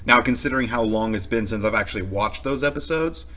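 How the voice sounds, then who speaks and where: A man gives casual commentary as a reaction video host. His voice is fairly low but bright and forward, and he explains things in wordy, measured phrases.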